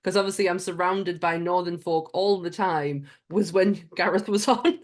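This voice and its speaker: A woman with a Sunderland Mackem accent chats loosely as a podcast co-host. Her voice sits a little low, and she laughs through her sentences and cuts herself off as she goes. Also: moderate pace. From Sunderland, England. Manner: giggly, swears casually, trails off mid-sentence. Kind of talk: casual chat.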